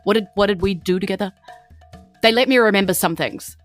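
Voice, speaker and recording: A woman's voice: mid-range voice, brisk, soft background music, natural voice. A woman with a New Zealand accent playing an evasive, forgetful male cat-person thief in a tabletop game, blunt and awkward. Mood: impatient. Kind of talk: improvised tabletop roleplay.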